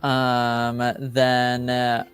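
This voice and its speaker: A man improvising narration as game master of a tabletop game, casual and hesitant, often halting as he describes things. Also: mid-range voice, monotone, faint music behind.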